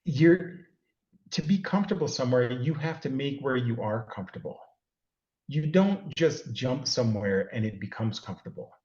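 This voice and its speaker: A man's voice: medium pitch, animated, normal speed, a little mellow, roomy sound, dull audio. A middle-aged man from California, a guest giving rambling, self-deprecating advice in a casual chat. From California, USA. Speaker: interview guest.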